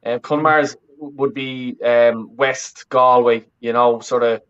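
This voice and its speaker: A man's voice: medium pitch, fairly even intonation, a little slow, fairly bright tone, clean tone, dull audio. A man from the west of Ireland with a Dublin accent, chatting casually as an interview guest and rambling with lots of self-corrections. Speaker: interview guest, fan channel creator. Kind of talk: casual chat.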